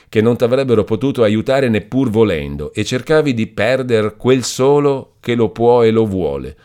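Brief: solemn older friar, grave and firm, raised deep voice, as a male narrator voices him. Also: a little mellow, lightly acted. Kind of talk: audiobook character voice.

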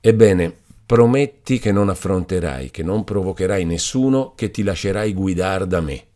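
A man's voice: deep voice, normal speed, mellow, lightly acted. A man reading an audiobook voices a stern but compassionate older friar who speaks in a grave, admonishing way. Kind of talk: audiobook character voice.

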